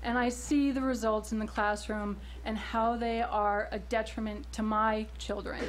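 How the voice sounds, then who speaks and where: A woman's voice: fairly high pitch, level tone, unhurried, slight background noise, low-quality recording. A woman speaking as a parent during public comment at a school board meeting, earnest and pointed, pressing for action at a podium microphone.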